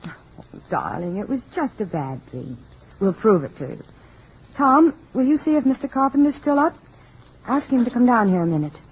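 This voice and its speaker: A caring, sensible mother in a vintage radio drama. Her high voice swings expressively and stays polite but firm. The sound is muffled, with faint noise. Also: big pitch swings, moderate pace, long pauses, husky, full character voice. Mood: soothing, firm. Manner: polite, reassuring yet firm. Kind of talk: old-time radio drama acting.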